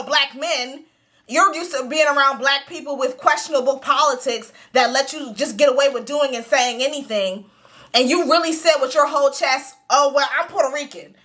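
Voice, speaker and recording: A woman hosting her own show, giving blunt, animated, profanity-laced commentary on a reality series. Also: high voice.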